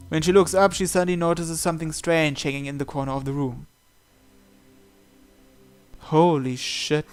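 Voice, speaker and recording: A man reading a visual novel aloud as dramatic narration, in a theatrical, suspenseful manner. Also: fairly high pitch. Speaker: visual novel let's player. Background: game music.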